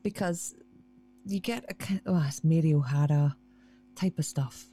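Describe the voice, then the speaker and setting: A woman talks loosely and self-deprecatingly as a guest, circling back and restarting her thoughts. Her voice is fairly low and warm. Soft music hums faintly behind her. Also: measured pace, slightly rough. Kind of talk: casual conversational interview.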